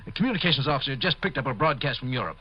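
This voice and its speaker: American army doctor in an old 50s sci-fi radio show, worried and dutiful, muffled lo-fi sound. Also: male voice, medium pitch, expressive, racing, slightly rough. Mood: tense, exasperated. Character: worried, dutiful military doctor on a space station. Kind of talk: 1950s radio drama acting. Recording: muffled vintage 1950s radio recording.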